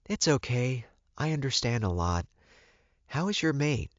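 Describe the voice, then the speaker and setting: Calm older she-wolf mother played by a male reader, pitched up, measured and firm, audiobook, slightly dull sound. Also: no echo. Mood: gentle. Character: werewolf mother, older woman, calm, firm, counseling her son. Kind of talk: audiobook character dialogue.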